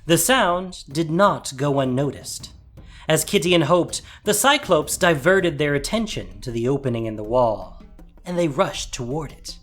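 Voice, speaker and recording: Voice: medium pitch, expressive, unhurried. A narrator reading a scripted dramatic fantasy story, with music behind.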